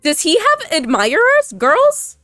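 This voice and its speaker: A woman's voice: very high voice, huge pitch swings, takes it slowly, a bit bright, no room sound, studio quality. A woman casually reacting to a game as a streamer, playful, gushing and teasing. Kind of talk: casual gameplay reaction chat. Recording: background game music.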